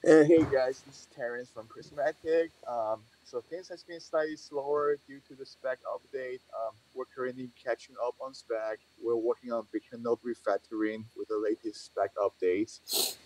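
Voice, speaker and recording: A man's voice: medium pitch, steady tone, moderate pace, a little mellow, low-quality recording. A man giving a developer team status update on a video call.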